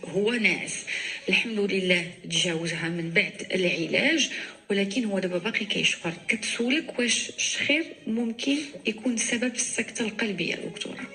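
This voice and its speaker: Moroccan woman radio host, bright sharp voice, quick, faint music behind. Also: medium pitch, rough recording. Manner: reads out listener questions, short backchannels. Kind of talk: radio call-in hosting.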